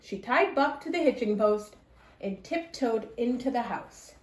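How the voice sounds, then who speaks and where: A woman with a fairly high voice reads a children's story aloud. Her narration is expressive, and the room adds a clear echo. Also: a little slow, a little hiss or hum. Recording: echoey room.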